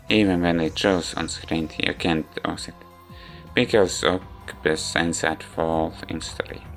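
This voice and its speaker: A man giving a rambling, halting spoken review of a visual novel in non-native English. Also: sits low. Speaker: video game reviewer. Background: background music.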